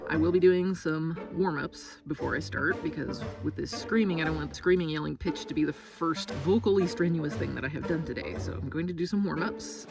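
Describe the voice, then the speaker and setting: American female voice actor, lower register, informal behind-the-scenes chat, a bit off-mic, music underneath. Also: brisk, creaky, hardly any pauses, recorded from a distance. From United States. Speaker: voice actor, video host. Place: small recording booth.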